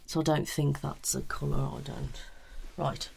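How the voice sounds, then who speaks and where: A woman giving a soft-spoken ASMR consultation in an unhurried murmur that slips in and out of a whisper. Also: rumbling low, very animated, creaky, very noisy. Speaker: colour analysis consultant.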